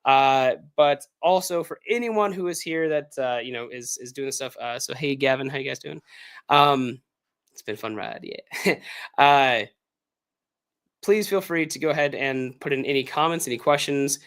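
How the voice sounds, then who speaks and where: American guy hosting a live q&a, voice shot from being sick, rambly and upbeat, mid pitch. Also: moderate pace, a bit bright, clear, resonant tone. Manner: rambling, filler-heavy, upbeat. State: hoarse, lost voice from illness. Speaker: author hosting a livestream Q&A. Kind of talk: casual livestream chat.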